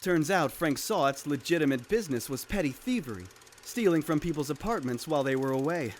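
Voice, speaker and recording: A man's voice: a little high, expressive, brisk, a little hiss or hum, lightly acted. A man voicing a cocky, bumbling young defense attorney with flippant bravado in a comedic cartoon parody. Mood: casual recounting. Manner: flippant, sarcastic, stammering bravado.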